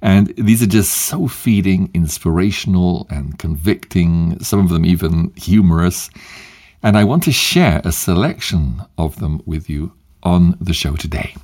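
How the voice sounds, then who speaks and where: A man hosting a devotional show, reading short quotes in a measured, emphatic way with long pauses. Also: low voice, expressive, a little mellow. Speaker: podcast host and devotional reader.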